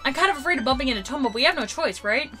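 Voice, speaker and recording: Girl reading as a jumpy young heroine, high lively voice, worried and quick. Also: soft background music. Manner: worried, quick read-aloud of game dialogue. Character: anxious young woman heroine on the run. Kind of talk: reading visual-novel lines aloud.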